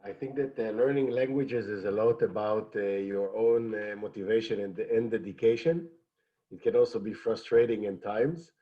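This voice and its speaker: Hebrew-speaking guy on a panel, slow and halting, lots of uhs, dull lo-fi audio. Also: fairly low pitch. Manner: measured, hesitant with fillers. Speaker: program alumnus panelist. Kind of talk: panel discussion.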